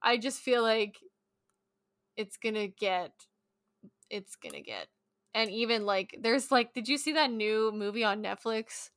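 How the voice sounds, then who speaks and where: Bright, higher-voiced woman in rambling chat. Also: unhurried, pauses often, no echo. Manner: informal, rambling, sweary. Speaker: podcast co-host. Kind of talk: casual chat.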